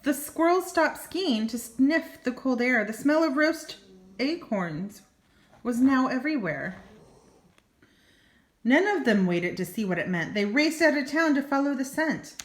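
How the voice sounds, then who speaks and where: A woman's voice: sits high, expressive, measured pace. An older woman, a grandmother, reading a children's story expressively with small asides, in an echoey room. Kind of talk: children's storybook read-aloud.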